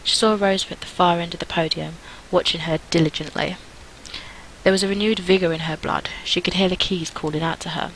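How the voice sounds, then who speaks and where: A woman's voice: lowish voice, fairly even intonation, a little fast, a bit bright, a little hiss or hum, rough recording. A young adult woman reading a visual novel aloud, with casual asides as host of a gaming video.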